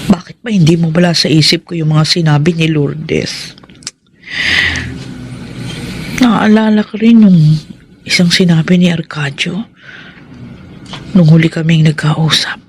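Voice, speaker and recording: A woman's voice: on the low side, slight background noise. A woman playing an anxious, guilt-ridden wife in a Filipino radio drama, with a fretful, exclamatory delivery. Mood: troubled.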